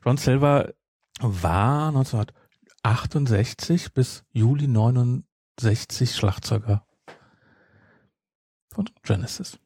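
Man, podcast host, mid-pitched, conversational, interrupts himself, dry room. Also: expressive, slow, long pauses, darkish tone, breathy edge, dry recording. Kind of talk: casual chat, retelling a story.

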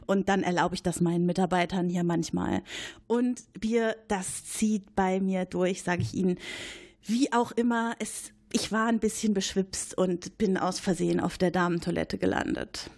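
A woman's voice: fairly low pitch, steady tone, normal speed, creaky, darkish tone. A woman playing a chatty, gossipy male supervisor at a military base in an improvised audio drama, rambling and hesitant as he points at a colleague. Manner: rambling, hesitant, eager to accuse.

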